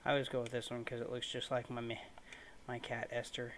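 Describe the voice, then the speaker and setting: A man giving informal, unscripted, somewhat halting commentary as he hosts a let's-play video. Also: medium pitch, completely flat delivery, fairly bright tone. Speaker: gaming video host. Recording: faint noise in home recording.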